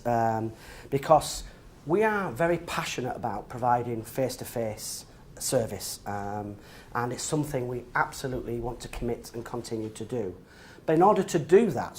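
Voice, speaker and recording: A man presenting to building society members, speaking haltingly with many fillers and repeated words. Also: medium pitch, a little mellow, a little hiss or hum.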